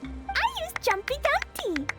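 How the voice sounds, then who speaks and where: A woman voicing an excitable, adventurous little girl mage in a video game, playful and childlike. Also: very high-pitched, slow, exaggerated voice. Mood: proud. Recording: game music under the voice.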